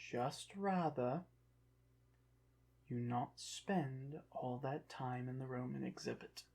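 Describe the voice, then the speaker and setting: Ancient Egyptian brought back to life, haughty and guarded, formal halting phrases, unhurried pace, ASMR roleplay actor in character. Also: mid-range voice, heavily acted. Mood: defensive, sulky. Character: proud, touchy ancient Egyptian revived from the dead, museum exhibit. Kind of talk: ASMR roleplay, one side of a dialogue.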